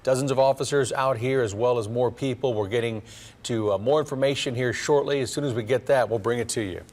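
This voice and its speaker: A man's voice: medium pitch, a little fast, darkish tone. A man with an American accent anchoring a live television newscast. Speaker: TV news anchor. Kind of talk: live broadcast news delivery.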